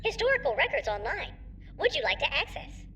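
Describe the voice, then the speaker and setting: A woman's voice: high-pitched, big pitch swings, brisk, sharp tone, heavily acted. A woman voicing an ancient computer that makes formal, clipped announcements in a science-fantasy audio drama. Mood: procedural. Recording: distant sound, faint background noise.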